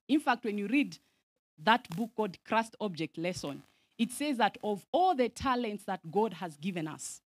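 A woman from Zambia in her thirties giving an animated teaching at a camp meeting, mixing Swahili phrases into her English and calling for audience responses, speaking into a handheld microphone in a large outdoor tent. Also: mid-range voice, dry recording. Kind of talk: lively sermon-style teaching.

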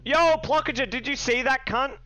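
A young man streaming a card game from a small room, swearing freely and reacting loudly to each play. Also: very high-pitched, normal speed, faint music behind. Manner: profane, excitable, shouting when upset. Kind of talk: live game stream commentary.

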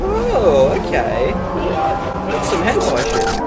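A man's voice: on the high side, huge pitch swings, takes it slowly. A young Australian man giving sweary, jokey, repetitive commentary while playing a horror game. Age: young adult. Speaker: video game streamer. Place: indoor room at home. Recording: loud game audio over the voice. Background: loud game music and machinery sounds.

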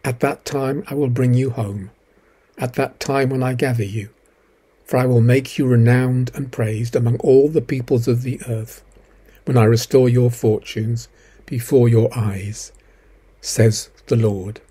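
Man, scripture reader, dark soft-edged tone, husky, measured declarative reading. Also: medium pitch, steady tone.

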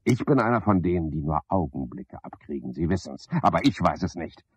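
An actor playing an eccentric, sardonic man in a radio play, rambling to himself and to unseen callers in a husky voice. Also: deep voice, big pitch swings, a little fast, no room sound, exaggerated voice. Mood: brooding, bitter. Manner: sardonic, brooding, musing aloud in fragments.

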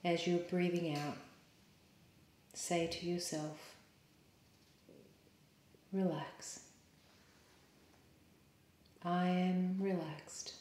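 Low, mellow woman guiding meditation, roomy echo. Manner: calm, soothing, measured with pauses. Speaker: yoga teacher. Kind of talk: guided meditation. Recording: roomy, echoing sound.

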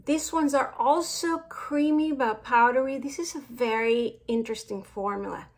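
A middle-aged woman from Mexico with a Mexican accent, chatting casually as she reviews makeup products. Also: fairly high pitch. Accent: Mexican Spanish. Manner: chatty, slow and unhurried with fillers and trailing asides. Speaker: beauty vlogger.

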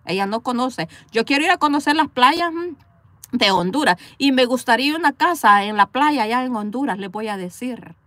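A woman's voice: a little high, expressive, moderate pace, fairly bright tone, no echo. A woman from El Salvador with a Salvadoran accent, chatting playfully and laughing with live viewers from inside a parked car, recorded on a phone. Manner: chatty, playful, laughs often, talks back to commenters. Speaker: livestream host reading viewer comments. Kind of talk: casual chat with live viewers.

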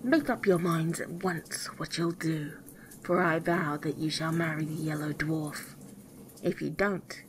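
A woman's voice: low voice, steady tone, unhurried, a little hiss or hum, low-quality recording. A woman voicing a tall, ugly, ancient fairy, threatening and imperious, in a storybook tale. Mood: threatening, indignant.

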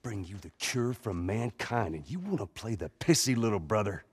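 An actor playing a weary, stubborn middle-aged survivor in a video game, with a Southern US accent and a terse, gruff manner. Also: male voice, fairly low pitch, sing-song, moderate pace, heavily acted. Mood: angry. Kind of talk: cinematic video game acting.

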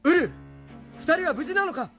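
An actor playing an earnest, emotional young hero in an anime audio drama. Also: male voice, extremely high pitch, big pitch swings, moderate pace, music in the background. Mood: alarmed. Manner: emotional, pleading.

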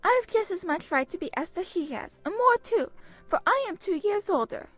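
Whiny teen sister, voiced by a woman, squeaky high, lilting complaints, audiobook drama. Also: big pitch swings, normal speed, fairly bright tone, soft background music, heavily acted. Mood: peevish. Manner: peevish, complaining. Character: whiny spoiled teenage girls.

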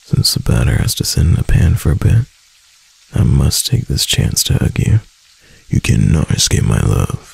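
A young man voicing a doting, clingy husband in a soft, intimate ASMR roleplay. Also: rumbling low, sing-song, normal speed, creaky, breathy edge, heavily acted. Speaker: voice actor.

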